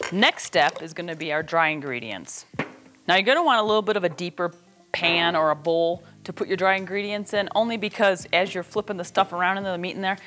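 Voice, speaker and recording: A woman's voice: fairly low pitch, a bit bright. A middle-aged woman hosting a casual cooking demonstration in a plainspoken, folksy way, recorded in a home kitchen. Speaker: cooking host.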